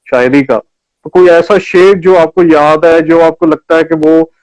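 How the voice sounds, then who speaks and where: A man's voice: fairly high pitch, steady tone, measured pace, darkish tone, dry recording, rough recording. A man from India hosting a casual live interview in an appreciative, exclaiming manner. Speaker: interview host.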